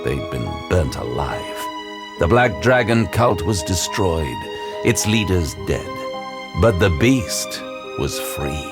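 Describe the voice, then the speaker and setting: An actor playing a weary, haunted detective who narrates his own audio drama in a brooding, deadpan way. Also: low-pitched, takes it slowly, heavily acted. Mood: weary, wry. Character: weary, haunted male demon-hunting detective, grimly wry. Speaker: lead character narrating in the first person. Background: dramatic music.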